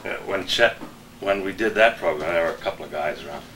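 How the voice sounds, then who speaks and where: Older man, bright voice, echoey room. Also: deep voice, fast. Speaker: former radio worker. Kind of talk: casual reminiscing. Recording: echoey room, distant, faint noise.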